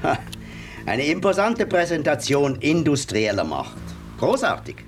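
A man's voice: fairly high pitch, wildly swooping pitch, measured pace, faint music behind, full character voice. A man from Switzerland playing an effusive, admiring visitor in a radio drama. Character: enthusiastic male Swiss visitor.

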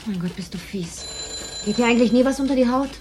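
A woman's voice: a little high, brisk, darkish tone, a little hiss or hum, lightly acted. A woman playing a cheeky, unimpressed police station secretary in a radio play, with a dry, flippant way of talking. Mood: snappy, annoyed.

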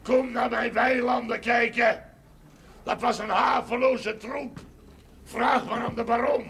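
An actor playing a defiant, blustering farmer, loud, sardonic and declamatory, in a television drama. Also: male voice, extremely high pitch, fairly even intonation, takes it slowly, gravelly, slightly rough, full character voice. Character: defiant, blustering Limburg farmer facing land expropriation. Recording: noisy 1970s television soundtrack.

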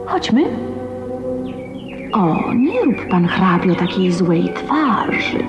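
Radio romance: teasing, gracious young noblewoman, unhurried and formal, some creak, music behind. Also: lowish voice, sing-song, exaggerated voice. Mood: playful. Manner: coquettish, breezily formal. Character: teasing, gracious, aloof young lady of a dream castle. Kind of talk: Polish radio drama acting. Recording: dull lo-fi sound, music behind.

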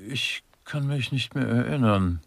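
Gravelly, slow old grumbler. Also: male voice, medium pitch, no echo. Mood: grumpy, weary. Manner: pedantic, world-weary grumbling. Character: grumpy, misanthropic old male critic. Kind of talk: German radio play acting.

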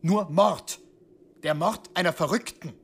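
An actor playing a stern, insistent police official in a radio crime drama, officious and emphatic. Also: male voice, high-pitched, a little slow, slightly rough, exaggerated voice. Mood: indignant, scolding. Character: stern, insistent male police doctor confronting a killer.

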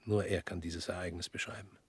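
An actor in a radio play playing a weary, guilt-laden former party official who talks in a measured, brooding way and often drops to a whisper. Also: male voice, sits low, steady tone, slightly rough, no echo, clean studio sound.

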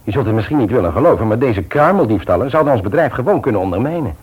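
A man's voice: mid-range voice, sing-song, racing, husky, muffled recording. A male radio play actor playing a conscientious family man, speaking naturally, hesitantly and earnestly. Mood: troubled, earnest. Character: conscientious, troubled family man and office worker, jovial host. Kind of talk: naturalistic Dutch radio drama acting.

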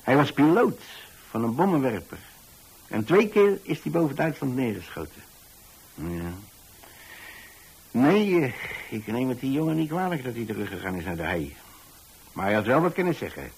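A man's voice: mid-range voice, very animated, moderate pace, pauses often, a little mellow, slightly rough, low-quality recording. An actor playing an older, plain-spoken pensioner in a radio drama, telling his story in a hesitant, repetitive, folksy way. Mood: reflective. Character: rambling, plain-spoken old pensioner who takes in lodgers. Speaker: narrator of a radio play.

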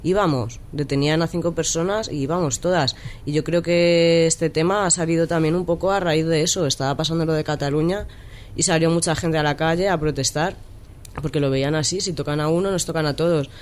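A woman's voice: deep voice, steady tone, a little fast, a little mellow. A woman, an activist guest on a radio talk discussion, speaking steadily and reflectively.

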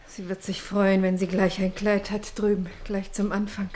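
A woman's voice: medium pitch, flat delivery, moderate pace, a little mellow, slight background noise, lightly acted. An actress playing an anxious, brittle pastor's wife and mother in a German radio play. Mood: wistful, determined.

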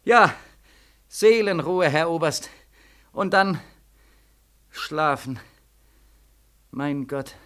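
An actor playing a bitter, tormented young soldier back from war in an old radio play, pressing questions insistently and repetitively. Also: male voice, fairly high pitch, expressive, extremely slow, long pauses, fairly bright tone, exaggerated voice. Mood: weary. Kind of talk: 1940s radio drama acting. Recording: 1940s radio recording.

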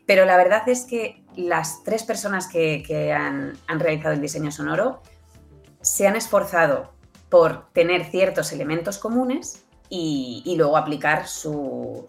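Woman with low-key, even intonation. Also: vocal fry. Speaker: interview guest, audio fiction producer. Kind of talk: conversational interview.

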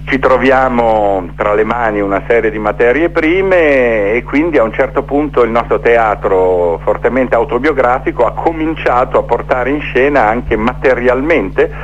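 A man's voice: medium pitch, normal speed, few pauses. A middle-aged man speaks reflectively as a radio interview guest, telling stories and building rhythmic lists. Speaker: theatre maker interviewed as guest. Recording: dull, noisy phone-in with hum.

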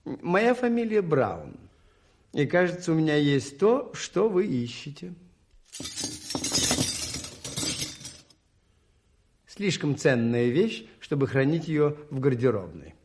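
A mild, shrewd priest detective in a radio play. His voice is fairly high, with lively intonation. He speaks politely and calmly, in a measured, enigmatic way. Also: noisy background. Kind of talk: radio drama acting.

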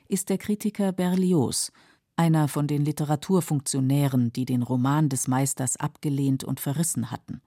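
A woman narrating a scripted literary documentary in a measured, explanatory way, with a husky, creaky voice. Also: sits low, mellow, no room sound, studio quality. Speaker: narrator of literary radio feature.

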